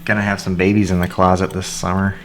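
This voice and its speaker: A man's voice: low-pitched, level tone, fast talker. A man chatting casually as he works on a repair in a home how-to video. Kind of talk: casual chat while working. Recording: clearly noisy, clattering tools.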